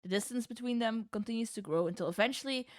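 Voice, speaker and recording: A woman's voice: on the high side, fast talker, dry recording, clean studio sound. A woman streamer reading a visual novel aloud like a storybook, dropping in casual, playful, sweary asides. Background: music.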